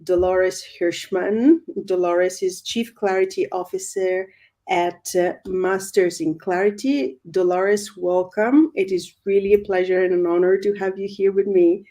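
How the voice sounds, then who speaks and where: A woman's voice: on the low side, fairly even intonation, unhurried, warm tone. A woman hosting an interview conversation, welcoming her guest and asking questions with some hesitant phrasing and frequent affirmations. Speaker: host.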